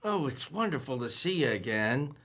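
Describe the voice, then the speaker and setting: A man's voice: medium pitch, very animated, normal speed, fairly bright tone. An actor playing a nervous, lovestruck young man who stammers and boasts in an old-time radio comedy. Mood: shy, delighted. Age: young adult. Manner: stammering, naive, slangy. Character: nervous, lovestruck, boastful twenty-one-year-old suitor.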